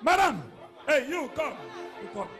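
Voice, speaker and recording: Shouting high-voiced male prophet. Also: very animated, measured pace, over music, crowd noise. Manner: shouting, commanding, repetitive. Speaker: pastor. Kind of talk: prophetic deliverance preaching.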